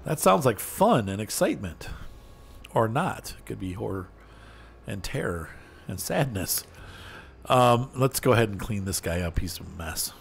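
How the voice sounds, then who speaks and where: Low-voiced male streamer, laid-back and chatty, talking to chat over gameplay. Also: animated, unhurried, gravelly, a little hiss or hum. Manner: rambling, easygoing, chatty with viewers, laughs often. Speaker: streamer playing a farming game.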